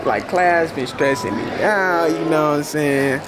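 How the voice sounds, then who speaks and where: A man's voice: fairly high pitch, measured pace. A young man hosting a casual street interview for a vlog, talking in playful, persistent slang into a handheld camera. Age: young adult. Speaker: vlog host interviewing strangers. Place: large atrium and outdoor campus walkway. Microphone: handheld camera at arm's length. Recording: loud music under handheld vlog audio. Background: loud music.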